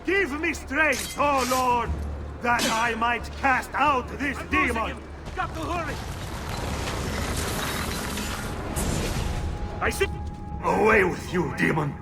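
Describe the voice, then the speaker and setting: An actor voicing a crazed, paranoid priest in a video game, raspy and declaiming like a zealous preacher. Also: male voice, very high-pitched, expressive, a little slow, loud music behind the voice, exaggerated voice. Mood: frenzied zeal. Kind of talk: video game dramatic acting.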